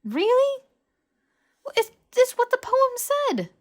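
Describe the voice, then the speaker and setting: A woman's voice: very high voice, wildly swooping pitch, takes it slowly, darkish tone, pitched above the performer's own voice, heavily acted. A woman voicing a sensible, bookish young witch with a precise, scolding, exasperated manner in an audiobook. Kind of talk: fiction audiobook character voice.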